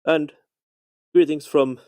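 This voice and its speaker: A man from Finland with a Finnish accent, leaving a halting, polite voice message with many restarts. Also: a little high, steady tone, warm tone. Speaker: voicemail caller. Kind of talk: recorded voice message.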